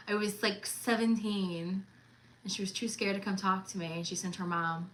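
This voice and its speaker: Bright, chatty woman in her thirties. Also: medium pitch, fairly even intonation, moderate pace, a little hiss or hum, rough recording. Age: 30s. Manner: chatty, teasing, laughs often. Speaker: co-host of a cruise livestream. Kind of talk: casual livestream chat.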